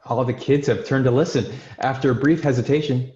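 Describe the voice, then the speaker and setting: A man's voice: medium pitch, fairly even intonation, a little fast, darkish tone. Man reading screenplay directions, echoey room. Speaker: stage directions reader. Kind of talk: scripted narration, screenplay table read. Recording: echoey, muffled video call audio.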